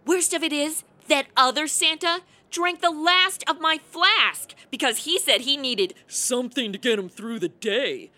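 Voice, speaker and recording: A woman's voice: high voice, a little slow, few pauses, sharp tone. A woman acting a sardonic, irritable, hard-drinking publicist in a comic radio play, with slangy, sarcastic delivery. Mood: wry, jaded.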